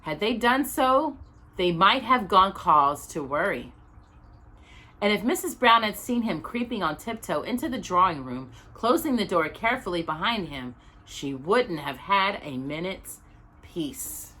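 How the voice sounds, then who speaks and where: Storytime lady reading a kids book, super animated, bright voice w a husky edge, leans into the drama. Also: mid-range voice, unhurried. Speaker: storytime host reading aloud. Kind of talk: expressive children's storybook narration.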